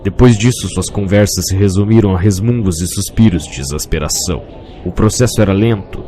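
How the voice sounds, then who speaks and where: A man's voice: low-pitched, a little fast, warm tone, faint music behind. A man with a Brazilian accent narrating a scripted fantasy story.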